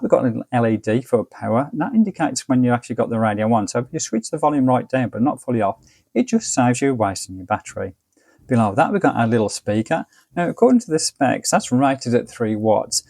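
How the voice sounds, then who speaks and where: A man's voice: mid-range voice, creaky, darkish tone, no echo. A man presenting a casual product review, explaining details in a methodical, matter-of-fact way. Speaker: product reviewer and channel host.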